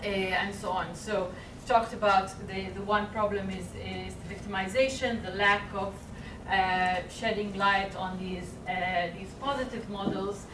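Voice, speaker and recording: A woman presents to a panel, pausing often with fillers and restarting her sentences. She sounds distant and roomy, with steady background noise in the hall. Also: mid-range voice. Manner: halting, many fillers and restarts. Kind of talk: conference presentation. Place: conference hall. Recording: echoey room, far mic, noisy.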